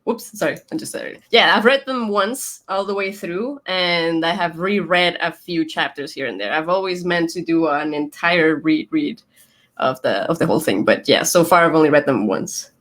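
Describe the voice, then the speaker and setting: Chatty woman with a soft Venezuelan accent. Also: sits low, moderate pace. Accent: Venezuelan Spanish, softened. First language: Spanish. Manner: chatty, laughs often, rambling storyteller. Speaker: guest, YouTuber. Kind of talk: casual interview chat.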